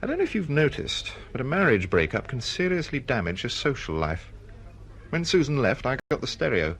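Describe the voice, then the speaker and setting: Embittered middle-aged novelist, very animated intonation. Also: male voice, mid-range voice, fairly quick. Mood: bitter, glum. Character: embittered, insecure middle-aged male novelist, self-pitying. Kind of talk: naturalistic radio drama acting.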